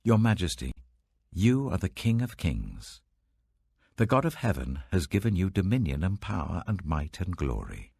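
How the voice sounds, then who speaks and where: A man voicing an earnest, reverent prophet who addresses a king in a grave, declamatory, deliberate way, in an audiobook reading. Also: low voice, sing-song, gravelly, a little mellow, breathy edge, dry recording, clean studio sound, full character voice. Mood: exalting, proclaiming.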